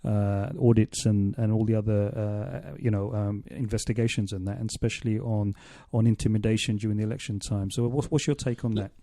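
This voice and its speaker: A man hosting a radio interview in a studio, asking long, halting questions full of restarts. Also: on the low side, fairly even intonation, creaky, mellow, no echo. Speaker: radio show host.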